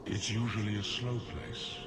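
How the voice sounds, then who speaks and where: An actor playing a languid, sly sloth demon in a video game, drawling and unbothered. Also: male voice, fairly low pitch, little pitch movement, moderate pace, close to an everyday voice. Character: languid male sloth demon, slyly offering bargains. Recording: heavy noise behind.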